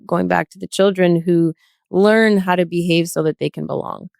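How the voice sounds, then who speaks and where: A woman speaking as a podcast guest, reflecting in an earnest, measured way in a panel discussion. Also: on the low side, fairly even intonation, gravelly, a little mellow, no echo, studio quality.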